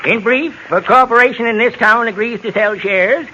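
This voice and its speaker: An actor playing a fussy, officious small-town lawyer in an old radio western, formal and wordy. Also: male voice, extremely high pitch, normal speed, bright, forceful tone, full character voice. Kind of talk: old-time radio drama acting. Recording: noisy, muffled vintage radio recording.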